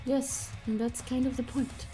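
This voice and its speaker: Female streamer, slightly high voice, unhurried, playful banter, guitar behind. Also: little pitch movement, dark tone. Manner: playful, teasing. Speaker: livestream host, artist. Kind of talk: casual livestream banter. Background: guitar music.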